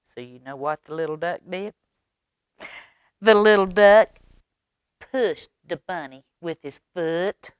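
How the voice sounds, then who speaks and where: A woman reading a children's story aloud expressively, with dramatic pauses and coaxing questions to young listeners. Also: on the low side, smooth, dry recording, clean studio sound. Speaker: library storytime reader.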